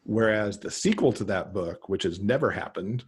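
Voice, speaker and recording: A middle-aged man chatting casually as a podcast guest on a video call, pausing to think as he goes. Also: fairly low pitch, expressive, slightly rough. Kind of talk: casual conversational interview. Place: home room, on a video call.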